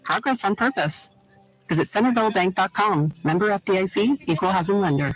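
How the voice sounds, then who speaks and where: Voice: mid-range voice, a little fast, rough recording. An announcer reading scripted radio advert narration. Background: music.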